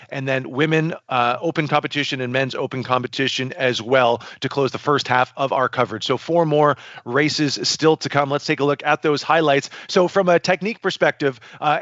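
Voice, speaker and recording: A man's voice: on the high side, a bit bright, dry recording. A man hosting a sports broadcast, upbeat and announcer-like. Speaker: play-by-play host. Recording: dull dry studio audio.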